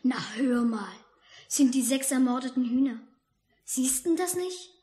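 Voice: sits high, expressive, unhurried, warm tone. A performer playing an eager, matter-of-fact young child in a 1950s German radio play. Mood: eager, indignant. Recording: faint noise, old radio recording.

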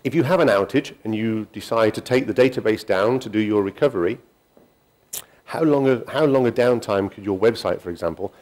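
A middle-aged man giving a technical conference talk in a hall, pausing often with ums and restarting sentences. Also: lowish voice, a little mellow. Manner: halting, many ums and restarts. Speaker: conference speaker.

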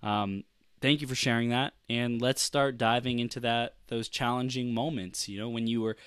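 A man hosting a video call interview, encouraging and quick to agree, circling back to rephrase his questions. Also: medium pitch, fairly even intonation, fairly bright tone, smooth, no echo, clean studio sound. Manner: encouraging, backchannels often, restarts questions. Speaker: podcast host. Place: small office indoors. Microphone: close desk mic on video call.

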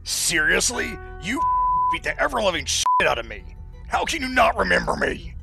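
Man acting a high, wildly swooping demon brawler. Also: sing-song, moderate pace, heavily acted. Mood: indignant. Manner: indignant, blustering. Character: whiny, hot-headed male demon brawler, sore loser. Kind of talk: comic dub voice acting. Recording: music clearly behind the voice.

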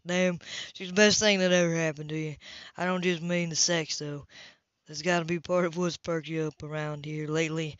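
An edgy, hot-tempered man from a romance audiobook, as an amateur reader plays him, blunt, impatient and quick to curse. The voice is bright and forceful, on a dry, dull recording. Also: mid-range voice, level tone, no room sound, lightly acted. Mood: teasing. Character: edgy, hot-tempered, sweaty man who swears and needles.

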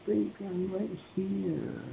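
Through a noisy, muffled recording comes a doting boyfriend acted by a man in a homemade roleplay. He murmurs sympathy in a creaky, swooping voice. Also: high-pitched, flat delivery, slow pace, full character voice. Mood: affectionate. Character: soothing, doting boyfriend comforting his partner. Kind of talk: amateur ASMR couple roleplay. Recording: muffled, noisy lo-fi playback, distant.

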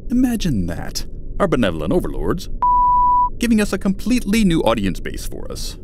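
A man's voice: a little high, wildly swooping pitch, a little fast. Man playing a wildly swooping, smug news anchor pony. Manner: grandiose, swooping announcer delivery. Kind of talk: mock news broadcast in a post-apocalyptic radio comedy. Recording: clear background ambience noise.

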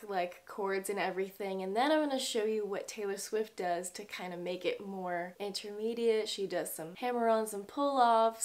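A young woman in a small bedroom, chatting in an upbeat, bubbly way as she teaches a guitar song. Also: medium pitch. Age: young adult. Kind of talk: casual guitar tutorial.

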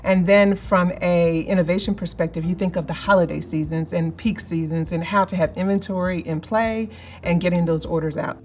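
Middle-aged woman, low-ish voice, measured corporate interviewee, faint ambience. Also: slight background noise. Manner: measured, explanatory, with fillers. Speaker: company representative. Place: office interview set, indoors.

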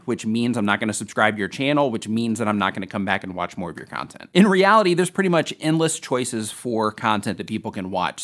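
A man in his forties hosts an upbeat tutorial from a small, dark studio. He addresses the camera directly in a scripted question-and-answer format. His voice is animated and full of pitch movement, and he leans on key words for emphasis. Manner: upbeat, lively, emphatic explainer. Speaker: host.

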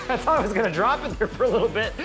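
A man in his thirties hosting a casual how-to video, chatty and joking, filmed in a garage and outside a house. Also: extremely high pitch. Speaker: DIY video host. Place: garage and outdoors beside a house.

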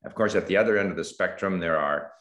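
An older man from Canada talks over a video call at a measured, thoughtful pace. His voice sits very low. The room around him adds a noticeable echo. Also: a bit bright. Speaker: panel guest, language creator. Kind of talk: casual video call chat. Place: room at home.

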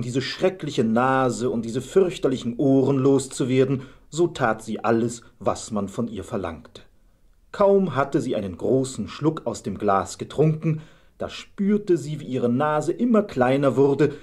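A man with a husky voice narrating a scripted fairy tale as a storyteller. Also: mid-range voice, a little mellow.

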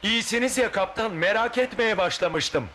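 Very high-pitched anxious deckhand. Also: male voice, normal speed, a little hiss or hum. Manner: worried, excitable. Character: anxious loyal young deckhand, captain's helper. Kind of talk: dubbed cartoon acting.

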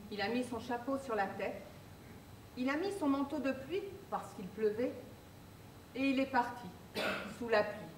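An actress playing a forlorn, abandoned woman, reciting each action in a slow, flat, listing way on a stage. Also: medium pitch, slight background noise, natural voice. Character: forlorn, abandoned woman recalling her loss. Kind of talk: stage monologue. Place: theatre stage in spotlight. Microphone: far room mic. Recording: echoey stage from far off.

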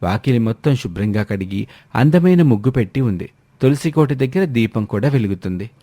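Casting: male narrator for scripted moral stories, mellow tone, mid pitch, dry studio-like sound. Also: expressive, brisk, dry recording.

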